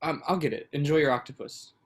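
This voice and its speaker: A man's voice: fairly high pitch, fast, natural voice. Hesitant, nervous man played by an actor. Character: nervous, evasive male aspiring food-truck owner. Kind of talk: staged play reading.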